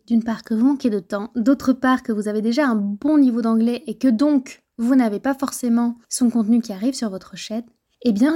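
A woman's voice: a little high, mellow. A woman hosting a podcast, reading a scripted intro and addressing the listener directly in an upbeat way before welcoming her guest. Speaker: podcast host.

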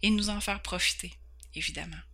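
Woman, 40s, Québécois accent; podcast host, earnest and candid, slight stumbles, crisp bright tone. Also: mid-range voice, fairly even intonation, brisk. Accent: Québécois French. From Quebec, Canada. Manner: earnest, candid, occasionally stumbling over words. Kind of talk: personal introduction.

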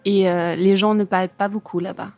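A woman's voice: mid-range voice, level tone, a little fast, darkish tone. A woman from Germany with a German accent, a native German speaker answering interview questions casually in imperfect French, often searching for words. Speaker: interview guest, furniture designer. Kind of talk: casual interview answers about own career.

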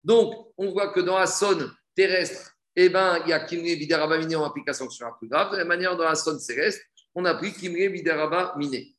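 Casting: male religious teacher, high voice, didactic question-and-answer delivery, echoey room. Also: brisk, a bit bright. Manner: didactic, asks and answers own questions, repeats phrases. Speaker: religious teacher giving a Talmud class. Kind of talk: explanatory Talmud lesson, reading a text and translating it.

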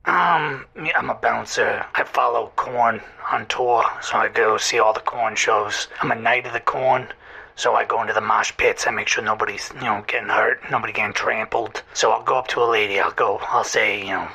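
A huge bouncer with a soft romantic streak in an improvised audio comedy. His voice is very raspy and forceful, and he stumbles and repeats himself like an awkward caller. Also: on the low side, steady tone, full character voice, low-quality recording. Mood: earnest, blunt. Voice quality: husky, gravelly. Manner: halting, repeating himself, blunt. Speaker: radio show caller.

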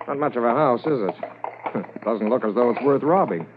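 An actor playing an inquisitive junior police officer with a thoughtful, conversational manner in a 1950s radio drama. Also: male voice, mid-range voice, lively intonation, moderate pace, lightly acted. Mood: wry, curious. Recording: muffled, hissy 1950s radio transcription.

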